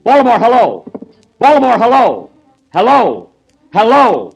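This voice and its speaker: Brief: a man doing a fussy male inventor for a 1930s radio comedy, high, lively and indignant. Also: measured pace, creaky, dull audio, a little hiss or hum, exaggerated voice. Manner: indignant, theatrical, exasperated. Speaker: comedian host.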